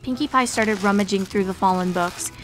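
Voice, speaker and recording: Female story narrator with soft music behind. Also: mid-range voice, brisk.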